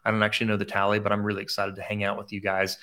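A man's voice: lowish voice, completely flat delivery, rapid-fire, pushed and bright. A man in his thirties hosting a casual online chat as a photography mentor, upbeat and chatty with frequent restarts. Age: 30s.

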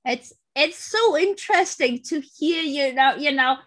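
A woman's voice: sits high, animated, unhurried, fairly bright tone. A woman hosting an interview, enthusiastic and full of fillers. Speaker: interviewer, host. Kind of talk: conversational interview.

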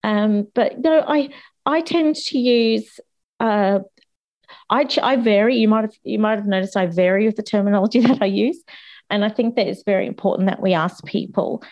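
A woman speaking as a guest on a discussion panel, candid and chatty, with a voice still slightly croaky from a cold. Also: mid-range voice, moderate pace, darkish tone, dull audio. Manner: candid and chatty, with frequent restarts and laughs.

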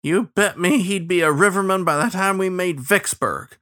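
A man's voice: sits high, normal speed, bright, forceful tone, no room sound, clean studio sound, voice pushed up. A man voicing a hot-tempered, grumbling riverboat cook in a storybook, pouting in folksy dialect. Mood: pouting, grudging.

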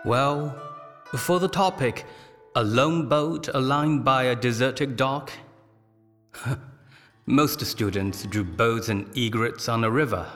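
An actor playing a discerning, regal emperor in a radio drama, speaking in a measured, critical and stately way. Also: male voice, medium pitch, a bit bright. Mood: appraising. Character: discerning, regal male Chinese emperor judging paintings. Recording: long hall reverb, music behind.

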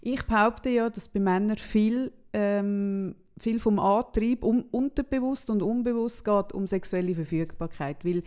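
A young adult woman with a Swiss German accent, co-hosting a podcast in blunt, animated casual chat. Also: medium pitch, a little slow, hardly any pauses, smooth. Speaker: podcast co-host.

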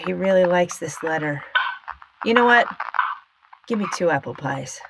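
A woman's voice: lowish voice, animated, unhurried, creaky, heavy background noise, voice pushed down. A woman playing a downcast, rambling male political aide ordering at a drive-through in a comedy sketch, with a hesitant manner. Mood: distracted.

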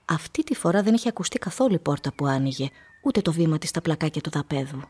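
A woman narrator reading scripted literary prose for a radio play in a measured, storytelling manner. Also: low voice, lively intonation, darkish tone, breathy edge.

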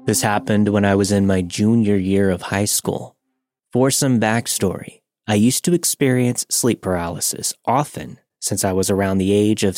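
A man's voice: fairly low pitch, a little mellow, no echo, studio quality. A man narrating a scripted first-person horror story for a podcast.

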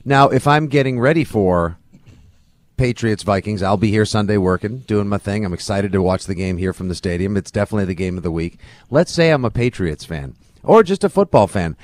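A man's voice: lowish voice, expressive, brisk, no room sound. A man co-hosting a sports podcast with casual, wry, colloquial football banter.

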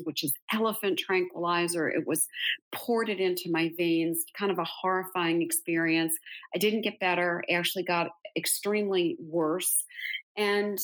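Middle-aged woman guest, kinda low voice, earnest and emphatic storytelling. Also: low-quality recording. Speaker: interview guest, author and speaker. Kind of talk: conversational interview.

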